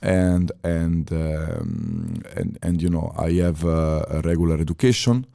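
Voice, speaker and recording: Italian guy in his 30s, low mellow voice, chats in broken english with lots of uhs. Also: fairly even intonation, vocal fry. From Italy. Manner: halting non-native phrasing with many fillers and restarts. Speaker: podcast guest, former chef. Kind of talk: casual interview talk.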